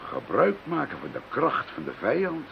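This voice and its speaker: A man's voice: medium pitch, huge pitch swings, normal speed, gravelly. An actor playing a thoughtful, supportive man in a radio thriller. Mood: thoughtful, earnest. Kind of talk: Dutch radio play acting. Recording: dull, hissy radio play recording.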